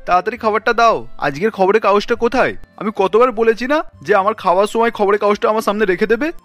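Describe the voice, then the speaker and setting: A fast, dry-recorded voice of a grumbling cartoon husband played by a man. He is demanding, curt and sarcastic, with faint music underneath. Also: sits high, fairly bright tone, dry recording. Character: irritable, demanding salaried husband and father.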